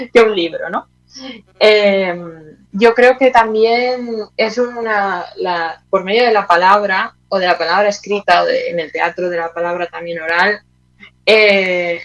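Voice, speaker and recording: A woman's voice: mid-range voice, a bit bright, poor audio. A woman with an accent from Spain answers interview questions in a reflective, conversational way, searching for her words and adding little tag questions. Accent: Spanish from Spain. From Teruel, Aragon, Spain. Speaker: interview guest, playwright.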